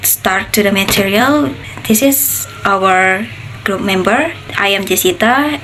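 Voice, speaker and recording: A woman's voice: medium pitch, a bit bright, slight background noise, rough recording. A young adult woman formally opening her group's class presentation with a scripted introduction. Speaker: student presenter.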